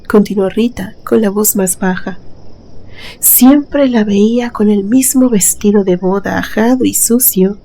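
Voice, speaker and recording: A woman's voice: medium pitch, warm tone, low-quality recording. A woman reads a scripted horror story in a dramatic, suspenseful storytelling manner. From Mexico. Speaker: narrator, channel host.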